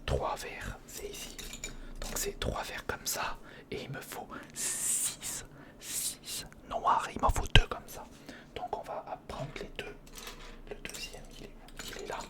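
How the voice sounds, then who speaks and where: A man whispering in a breathy, unvoiced ASMR style, giving informal and slightly hesitant build-along commentary close to the microphone. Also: slow. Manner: hesitant, informal, muttering to the listener. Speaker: ASMR video host. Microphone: close recorder microphone.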